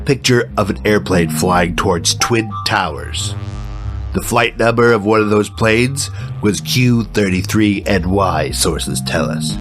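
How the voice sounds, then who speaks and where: An actor playing a grave, paranoid conspiracy show host in a scripted comedy podcast. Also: male voice, lowish voice, unhurried, over music, natural voice. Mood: conspiratorial.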